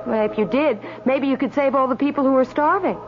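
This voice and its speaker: An actress playing a calm, insistent female spirit companion in a radio drama, urging in a matter-of-fact way. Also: high-pitched, brisk, close to an everyday voice. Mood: coaxing. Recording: noisy, dull old radio broadcast.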